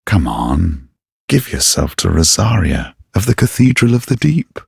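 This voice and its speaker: An actor playing a sly, haughty knight-assassin in a video game, speaking in a silky, deliberate and theatrically formal manner with a gravelly edge. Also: male voice, very animated, dry recording, clean studio sound, full character voice. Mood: smug, conspiratorial. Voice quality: low, husky, gravelly. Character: sly, menacing, haughty knight-turned-assassin, devoted to his goddess. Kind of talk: video game character dialogue.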